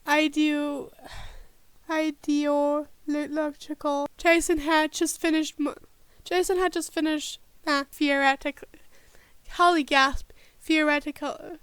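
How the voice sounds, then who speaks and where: A woman with a high voice and a command of works through a text aloud at an unhurried pace. She stops and restarts often, mutters to herself and tests how words are pronounced. The sound is close and dry. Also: flat delivery, a bit bright, no room sound. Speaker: podfic reader. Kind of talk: informal rehearsal of a read-aloud, checking pronunciations.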